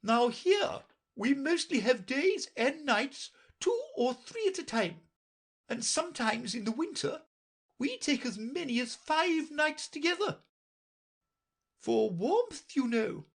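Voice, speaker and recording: A reader voicing a bossy, imperious queen in a storybook audiobook, with a shrill, commanding manner and drawn-out emphasis. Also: big pitch swings, exaggerated voice. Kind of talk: audiobook character voice.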